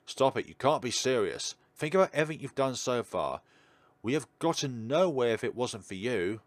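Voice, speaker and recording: A man's voice: mid-range voice, very animated, moderate pace, no room sound, pitched above the performer's own voice, lightly acted. A man voicing an earnest, encouraging reporter in an emphatic, theatrical read-aloud of a visual novel. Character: earnest young male reporter, self-reflective, encouraging his colleague. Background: game music.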